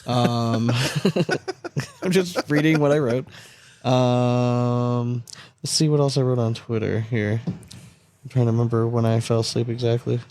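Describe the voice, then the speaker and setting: A man in a relaxed podcast conversation, talking candidly and swearing freely. His voice sits at an ordinary middle pitch. The sound is dry and close to the mic, with no room around it. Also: a little slow, dry recording. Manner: loose and candid, swears freely, laughs between points. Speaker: podcast co-host. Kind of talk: casual sports chat.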